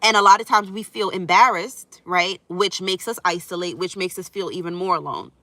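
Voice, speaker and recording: A woman's voice: on the low side, moderate pace, a bit bright, no room sound. A middle-aged woman, a relationship coach, chatting on a live stream and answering viewers' comments, a little stuffy from a cold, reassuring and conversational. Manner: reassuring, conversational, frequent "right?" and "you know".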